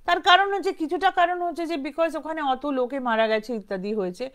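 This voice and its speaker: Higher-voiced woman telling stories. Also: brisk, fairly bright tone. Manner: conversational, rambling, mixes in English words, acts out quotes lightly. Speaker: storyteller, host of a ghost story channel. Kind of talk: informal oral ghost storytelling.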